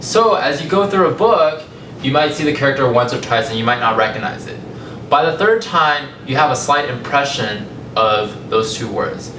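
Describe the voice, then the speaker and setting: A young American man speaks to listeners as a storyteller, conversational and measured, pausing deliberately between phrases. His medium-pitched voice comes from some distance in a reverberant space, over loud rumbling noise. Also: lively intonation. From California, United States. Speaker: language company founder giving a talk. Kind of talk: public talk, personal storytelling. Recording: echoey, distant mic, rumbling noisy room.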